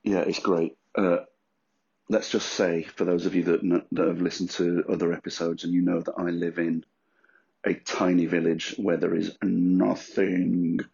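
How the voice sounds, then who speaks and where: Husky, gravelly low-voiced man. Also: flat delivery, a little slow, a little mellow. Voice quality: husky, rough voice. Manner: crude, self-mocking humour. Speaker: podcast host. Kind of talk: rambling, jokey solo podcast chat. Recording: dull lo-fi recording.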